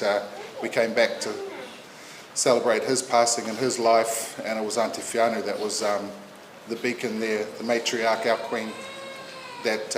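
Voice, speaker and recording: A man in his fifties giving a heartfelt funeral eulogy, halting often as he searches for his words. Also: lowish voice, background noise. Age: 50s. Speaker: family member giving a eulogy. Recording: roomy echo.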